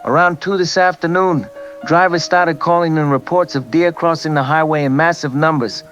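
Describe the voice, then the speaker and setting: An actor playing a rattled veteran highway patrol officer, plain-spoken and folksy, interviewed by phone in an audio drama. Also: male voice, fairly even intonation, normal speed, a bit bright. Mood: disbelieving. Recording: muffled phone line, music behind.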